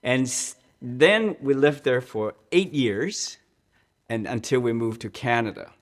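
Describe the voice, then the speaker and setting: Man, earnest storyteller, mid pitch, halting restarts. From Hong Kong. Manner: earnest, restarts and repeats words, slows on key points. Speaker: church music director. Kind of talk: interview, personal storytelling.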